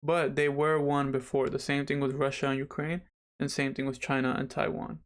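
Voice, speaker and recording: A young man hosting a casual livestream, slightly raspy after dental surgery, chatty and rambling as he talks straight to viewers. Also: medium pitch, flat delivery, moderate pace. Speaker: livestream host.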